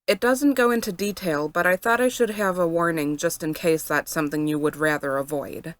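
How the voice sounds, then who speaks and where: A woman reading fan fiction aloud as an expressive story narrator. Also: on the low side, normal speed.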